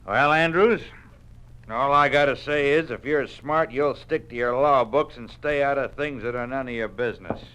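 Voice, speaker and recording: An actor playing a blunt, menacing trade union boss with a tough, folksy American manner of speech in a 1950s radio drama. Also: male voice, medium pitch, moderate pace, a bit bright, natural voice. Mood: threatening. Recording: noisy old radio recording.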